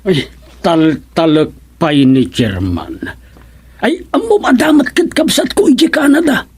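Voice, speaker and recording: A man with an Ilocano accent playing an animated, nosy village councilman in a radio comedy drama. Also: sits high, a little slow, slightly rough, heavily acted. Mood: grumbling.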